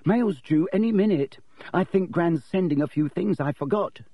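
A man's voice: a little high, lively intonation, normal speed, no room sound, voice pushed up. A timid, round-faced schoolboy frets in a raised, jittery voice, as a male narrator puts him on. The recording is dry and slightly dull. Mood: nervous urging. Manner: nervous, urging.

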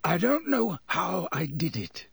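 A man's voice: mid-range voice, lively intonation, no echo. A man voicing a bitter, brooding escaped prisoner in an audiobook, with a growling, venomous delivery. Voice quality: growling, gravelly. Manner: venomous, hissing, deliberate. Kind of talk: audiobook character voice.

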